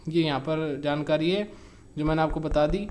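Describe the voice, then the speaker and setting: A man's voice: fairly high pitch, moderate pace, a little mellow. A man with an Indian accent giving an informal, step-by-step explainer to viewers as a channel host, often repeating his points.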